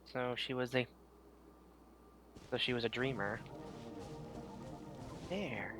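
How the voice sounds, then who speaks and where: A man casually reacting to a game as a streamer, in a halting, musing murmur. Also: medium pitch. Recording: game music behind the voice.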